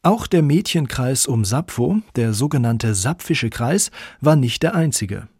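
A man's voice: medium pitch, animated, measured pace, darkish tone, slightly rough, no echo, studio quality. A man reading scripted narration for a radio documentary.

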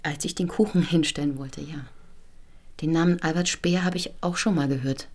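An actress playing a polite, curious chambermaid in a radio play, with a naive, deferential, questioning manner. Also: female voice, low voice, fairly even intonation, moderate pace, darkish tone. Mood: naively curious. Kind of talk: naturalistic radio play dialogue.

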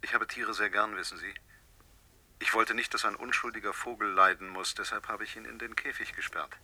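A sinister anonymous caller in a radio thriller. The voice is very bright and cutting, yet the words come politely and deliberately. He keeps a calm, courteous menace throughout. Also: lowish voice, no room sound. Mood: softly moralising. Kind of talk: German radio thriller acting.

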